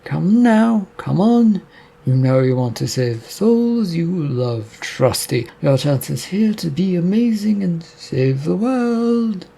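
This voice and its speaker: Sly possessing demon, slow taunting delivery, husky low tone, read by a man. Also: sing-song, mellow, full character voice. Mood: coaxing sly. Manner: taunting, coaxing, drawn-out.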